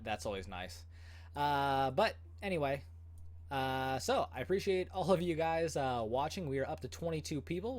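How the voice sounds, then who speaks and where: A man in his thirties hosting a casual livestream from home, chatting with many fillers and an upbeat tone. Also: mid-range voice. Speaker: goalie channel host.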